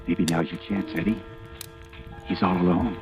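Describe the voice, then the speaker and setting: An actor playing a sinister, insistent inner voice in a radio horror drama, hushed and slow, often whispering. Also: male voice, sits low, steady tone, dull audio. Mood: hushed urging. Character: Eddie's inner voice, sinister insidious urging man. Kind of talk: old-time radio horror drama acting. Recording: old radio recording with music behind.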